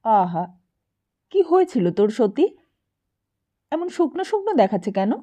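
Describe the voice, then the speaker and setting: A woman's voice: on the high side, very animated, a little slow, dark tone. A woman voicing an effusive, affectionate socialite elder sister in an audiobook drama, insistent and gushing. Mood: tenderly concerned. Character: warm, gushing, tipsy socialite elder sister hosting guests.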